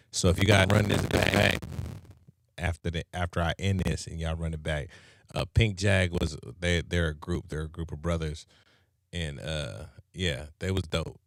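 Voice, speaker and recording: A man hosting a casual livestream, talking in a relaxed, rambling way with lots of fillers and repeated phrases. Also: a bass voice, gravelly, no room sound, clean studio sound. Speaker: show host. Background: loud hip hop music.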